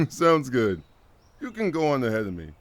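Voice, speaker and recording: A man's voice: mid-range voice, big pitch swings, normal speed, no room sound, lightly acted. A man voicing a wry, drowsy, laid-back skeleton brother in a cartoon comic dub, with a casual, joking drawl. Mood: relaxed.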